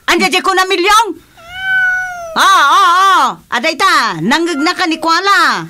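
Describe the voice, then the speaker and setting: A woman's voice: very high voice, animated, measured pace, pushed and bright, a little hiss or hum, exaggerated voice. A woman playing a nagging, exasperated village wife in an Ilocano comedy radio drama, with an Ilocano accent. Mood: exasperated, scolding.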